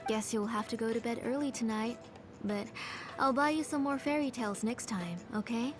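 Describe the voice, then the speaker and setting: An actress playing a gentle, caring mother in a video game. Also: fairly high pitch, normal speed, over music, natural voice. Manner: warm, motherly. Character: gentle caring mother, former name engraver.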